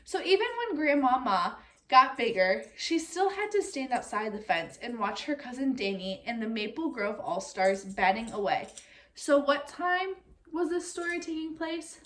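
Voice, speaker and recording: A woman reading a picture book aloud like a teacher, expressive and speaking directly to young listeners. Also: sits high, normal speed, a little hiss or hum. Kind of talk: read-aloud storytelling with comprehension questions.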